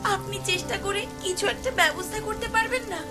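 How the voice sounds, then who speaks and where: A woman voicing an anxious, grieving fisherman's wife in a cartoon folk tale, with a plaintive, pleading way of talking. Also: extremely high pitch. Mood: worried. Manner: plaintive, pleading, drawn-out laments. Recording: music and rain behind the voice.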